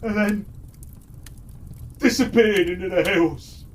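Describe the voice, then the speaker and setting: A man voicing a shaken, earnest male elf villager in a storybook tale, telling things in a measured way. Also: high voice, pitched above the performer's own voice. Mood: shaken, grave. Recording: distant mic with faint background noise.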